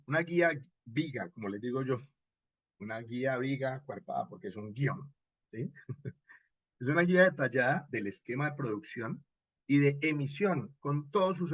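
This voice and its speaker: A man with a husky, mid-pitched voice teaches in an informal online lecture. He is expressive and didactic, punctuating points with short check questions. The sound is dry and somewhat dull, like a lo-fi online session. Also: dry recording. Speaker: radio drama instructor.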